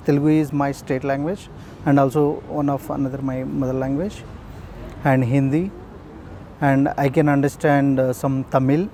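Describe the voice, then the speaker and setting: A man's voice: medium pitch, darkish tone, slight background noise. Hesitant Indian man, street interview. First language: Urdu. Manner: hesitant, many fillers.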